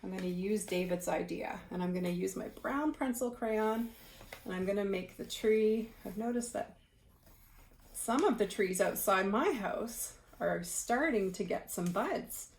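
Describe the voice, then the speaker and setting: A woman's voice: medium pitch, measured pace, darkish tone. A woman reading aloud and explaining things to children in a conversational way, like a teacher. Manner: conversational, asks the listener questions while reading.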